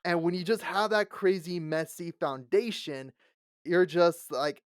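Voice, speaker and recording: A man's voice: sits high, measured pace, clean tone, dry recording. Late 20s guy cohosting a podcast, laughs a bunch, does little voices for quotes, dead dry mic. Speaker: podcast co-host. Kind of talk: casual chat.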